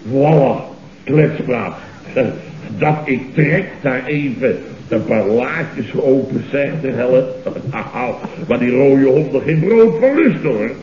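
An actor playing a panicky, self-important boss in a Dutch radio comedy, stammering and repeating words emphatically, with a Netherlands accent. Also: male voice, medium pitch, animated, slow pace, a little mellow. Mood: pompous, indignant. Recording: dull, noisy, roomy old radio recording.

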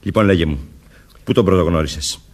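An actor playing a jealous, suspicious, hot-tempered young husband in a Greek radio drama. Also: male voice, sits low, darkish tone, slightly rough.

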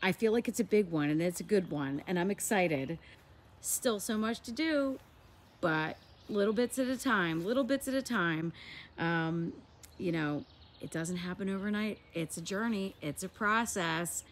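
A woman's voice: medium pitch, unhurried. A woman in her forties with a stuffy, nasal voice, chatting enthusiastically in a casual garden vlog, filmed outdoors on a handheld phone. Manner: chatty and enthusiastic, laughs easily. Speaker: garden vlogger. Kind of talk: casual walk-and-talk vlog. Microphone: handheld phone camera.

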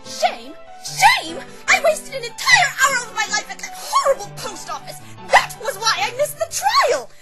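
A woman's voice: very high-pitched, wildly swooping pitch, a little slow, few pauses, over music, heavily acted. A woman playing a refined, vain, easily outraged lady unicorn pony, with an affected, theatrical manner, in a fan dub. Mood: indignant, wailing. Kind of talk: fan-dub visual novel character acting.

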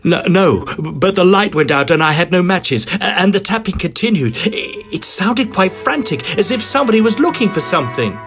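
A man playing an excitable Edwardian gentleman ghost hunter, telling his story in formal, theatrical audio drama style. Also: high-pitched, normal speed, few pauses, soft background music. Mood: agitated. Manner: formal, old-fashioned, dramatic phrasing. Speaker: narrator and lead character.

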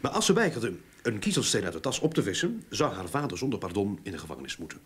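An actor playing a talkative, enthusiastic sleuth in a radio drama, explaining things eagerly in a husky voice with emphatic repeats. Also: male voice, on the low side, sing-song, fast talker. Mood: storytelling. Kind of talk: Dutch radio drama acting.

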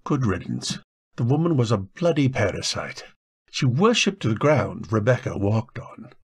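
An actor playing a guilt-ridden, anguished husband in a radio drama, speaking haltingly as emotion breaks through. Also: male voice, mid-range voice, big pitch swings, breathy edge. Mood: subdued, bitter. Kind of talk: old-time radio drama acting.

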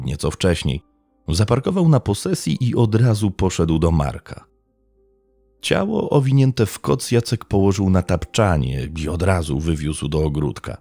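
A man narrates true crime from a script. His voice is gravelly and moves through lively rises and falls as he tells the story in a measured, dramatic way. The sound is close and very dry. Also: deep voice, dry recording.

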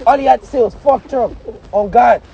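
Young man yelling hoarsely from a car. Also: extremely high pitch, normal speed, lo-fi sound, a little hiss or hum. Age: young adult. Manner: shouting, hyped and profane. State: straining his voice. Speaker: streamer. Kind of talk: casual live stream from a car. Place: inside a car. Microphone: phone held at arm's length.

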